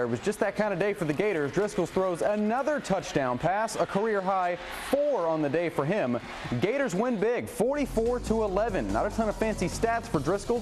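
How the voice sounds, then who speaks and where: High-voiced man anchoring TV sports highlights. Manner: punchy, colloquial, exclamatory. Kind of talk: highlights commentary and scripted sportscast. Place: TV studio, voice-over to highlights.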